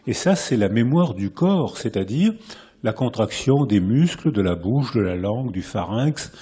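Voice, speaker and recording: A man with a French accent giving a public lecture in a hall, lively and repetitive, often restarting his phrases. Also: medium pitch, measured pace, slightly rough. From France. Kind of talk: public lecture to a live audience.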